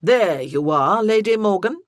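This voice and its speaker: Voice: mid-range voice, lively intonation, a little slow, no room sound, studio quality, pitched above the performer's own voice, close to an everyday voice. A reader doing an imperious older noblewoman. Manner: commanding, insistent, grand.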